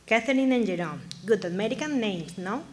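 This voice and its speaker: A woman's voice: mid-range voice, animated, fairly quick, darkish tone, lightly acted. A woman playing a kindly Mexican mother in a radio drama, speaking broken English mixed with Spanish in a Mexican accent. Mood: warm, motherly. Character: warm Mexican immigrant mother newly adopting two children. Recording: live stage performance, audible background noise.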